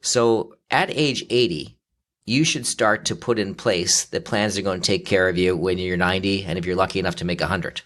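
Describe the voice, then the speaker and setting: A man in his fifties with a lowish voice explains money matters in a steady conversational way. He talks through his points step by step, with plenty of small fillers along the way. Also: fairly quick. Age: 50s. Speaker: financial advisor. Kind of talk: conversational interview.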